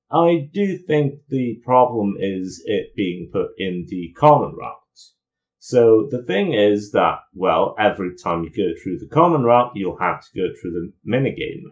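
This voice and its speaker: Deep-voiced man with lively intonation, reviewing. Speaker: video game reviewer. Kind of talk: spoken review commentary. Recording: dull lo-fi voice recording.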